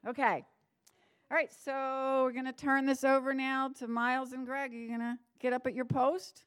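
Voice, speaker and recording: High-voiced woman addressing a meeting. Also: level tone, normal speed, clean tone, no room sound. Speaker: meeting presenter handing over to moderators. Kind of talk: addressing a meeting, reading out written comments.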